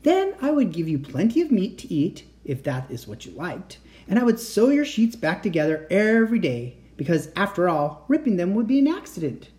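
A man voicing a gentle mother reassuring her child at bedtime. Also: high voice, big pitch swings, moderate pace, hardly any pauses. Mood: tender. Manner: soothing, tender reassurance.

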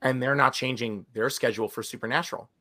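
Podcast co-host, male, raspy and recovering, bright tone, animated casual chat. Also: medium pitch, quick pace. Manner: animated, chatty, many restarts.

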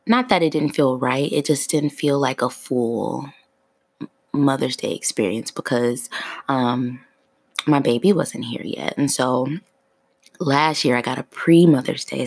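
Sleepy, low, gravelly woman podcaster. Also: dry recording. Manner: relaxed, free-flowing, with soft laughs. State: sleepy morning voice. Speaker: podcast host. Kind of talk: casual solo podcast chat.

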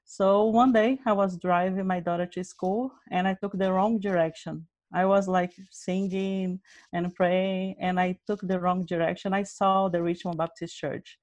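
A woman's voice: lowish voice, steady tone, measured pace, a little mellow, no room sound. Earnest woman, dry sound. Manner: earnest, simple non-native phrasing. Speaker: interview guest, Bible study leader. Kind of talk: personal testimony in an interview.

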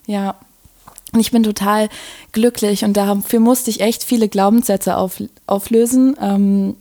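A woman's voice: mid-range voice, steady tone, unhurried, warm tone. Bubbly young Berlin woman chatting. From Germany. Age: 20s. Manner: enthusiastic, laughs often, many fillers. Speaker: podcast guest, design student. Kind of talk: casual chat.

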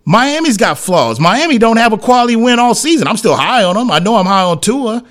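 A man's voice: high-pitched, big pitch swings, brisk, fairly bright tone. A man hosting sports talk, giving an emphatic, blunt, opinionated monologue in colloquial, slangy speech and repeating phrases to hammer them home. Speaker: sports talk show host.

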